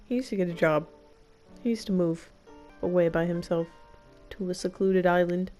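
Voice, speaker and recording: A woman streamer giving playful, theatrical gameplay commentary with deadpan joking, game music behind her. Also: fairly low pitch, normal speed. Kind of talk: playful live gameplay commentary with improvised dramatics.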